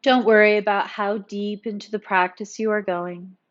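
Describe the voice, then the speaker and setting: A woman's voice: medium pitch, fairly even intonation, normal speed. Woman guiding meditation, slightly dull audio. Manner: soothing, instructional, gently encouraging. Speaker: yoga instructor. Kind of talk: guided meditation narration.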